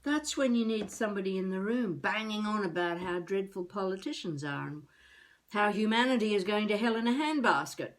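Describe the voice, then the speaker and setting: A woman playing a feisty elderly would-be novelist, rambling wryly and haltingly through a comic monologue as a video diary. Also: mid-range voice, close to an everyday voice, rough recording. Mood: reflective, darkly comic. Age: older. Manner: wry, musing, halting, talking to herself.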